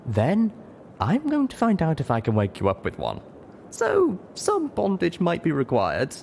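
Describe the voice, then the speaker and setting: A man's voice: fairly high pitch, wildly swooping pitch, unhurried, creaky, a little mellow, slight background noise, pitched above the performer's own voice, full character voice. A man voicing an affectionate, playfully seductive female unicorn with a coy, teasing manner, in an audiobook story. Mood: sly, teasing.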